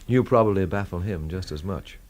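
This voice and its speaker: An actor playing a cynical English investigator who narrates his own story in a deadpan, sardonic radio drama style. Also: low voice, fairly quick. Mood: guarded, deadpan.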